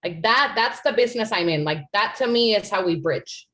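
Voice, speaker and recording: A woman's voice: mid-range voice, lively intonation, moderate pace, a bit bright. A woman from Puerto Rico answering questions on a panel, earnest and conversational, often adding "like" and "right?". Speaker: panel speaker.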